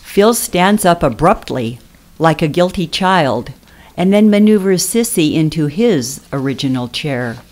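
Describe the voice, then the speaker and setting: A woman narrator reads stage directions for radio theatre. Her pitch is low for a woman and her pace is slow and deliberate. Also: expressive, a little mellow.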